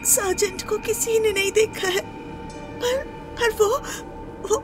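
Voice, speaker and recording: A woman's voice: extremely high pitch, fairly even intonation, music in the background. A woman playing a guilt-stricken young female ghost in a horror audio drama, crying and stammering. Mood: anguished, fearful. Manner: stammering, halting, repeating words.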